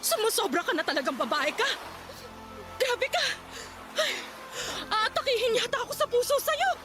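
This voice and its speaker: A woman's voice: extremely high pitch, sing-song, moderate pace, over music. An actress playing an indignant, overbearing old mother-in-law with histrionic scolding in a Tagalog radio drama.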